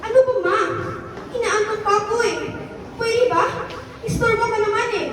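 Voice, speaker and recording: A woman's voice: very high-pitched, moderate pace, some chatter behind, a little hiss or hum. A woman playing a spoiled, ungrateful eighteen-year-old daughter, whining and demanding, in an amateur radio drama. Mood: irritated. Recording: echoey distant recording with music behind.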